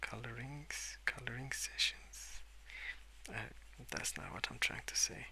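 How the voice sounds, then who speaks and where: A man speaking in a soft, hushed ASMR ramble, drifting between murmur and whisper in a hesitant, unhurried way. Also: mid-range voice, sing-song. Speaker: host of an ASMR colouring channel. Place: indoors at a wooden desk.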